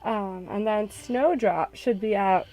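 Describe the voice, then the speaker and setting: Woman, show host, casual conversational talk, mid-range pitch, clear background music. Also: slow, darkish tone, poor audio. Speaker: host, visual novel developer. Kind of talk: casual chat.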